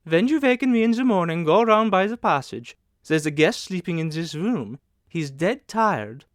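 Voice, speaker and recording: A man's voice: high-pitched, lively intonation, moderate pace, no room sound, clean studio sound, voice pushed up. Man voicing a motherly, emphatic hotel manageress. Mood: commanding, loud. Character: kindly, bustling, nervous older hotel manageress, stout woman.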